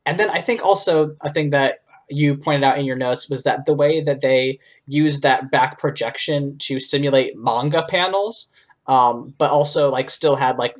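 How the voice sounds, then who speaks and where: Guy podcast co-host just chatting, mid pitch, kinda rambly and earnest fan talk. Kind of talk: casual chat, fan review.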